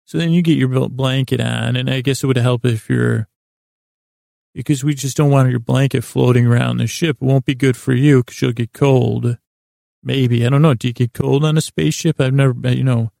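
A man with a gravelly, creaky voice hosts a bedtime podcast. He meanders hesitantly and deliberately, keeping his pitch fairly even. The recording is close and dry, and he is gently self-deprecating. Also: dry recording. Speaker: host of a sleep podcast. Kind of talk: rambling bedtime monologue.

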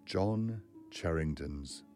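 A man's voice: a bass voice, lively intonation, drawn-out words, music in the background. A man presenting and narrating a classic story as a dramatic literary reading.

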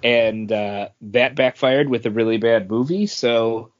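Male podcast co-host, casual and slow-paced, mid pitch, lo-fi recording. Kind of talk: casual chat about entertainment news. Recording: dull, lo-fi podcast audio.

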